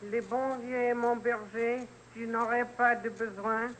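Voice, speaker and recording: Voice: medium pitch, little pitch movement, normal speed, a bit bright, a little hiss or hum, natural voice, low-quality recording. An actor playing a precocious, formal six-year-old boy prodigy in a radio drama, reciting precisely. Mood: solemn, proud.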